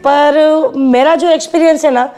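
A woman in her thirties answers questions in a shop office, her voice high yet soft and mellow. She gushes with repeated praise, pausing on fillers between thoughts. Faint music sits behind her lapel-miked voice. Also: steady tone. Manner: enthusiastic, repetitive praise, hesitant fillers. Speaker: customer giving a testimonial. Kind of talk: casual interview answers. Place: indoor rental shop office. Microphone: lapel mic.

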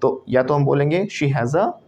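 A man's voice: medium pitch, lively intonation, a little slow, crowd noise. Indian man drilling a class. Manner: teacherly, drilling students with repeat-after-me prompts. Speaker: English trainer. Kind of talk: classroom spoken English lesson.

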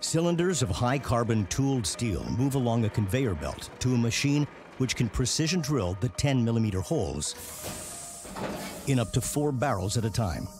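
A man reading scripted television documentary narration in a measured way with dramatic emphasis. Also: mid-range voice, big pitch swings. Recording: music behind the voice. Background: background music.

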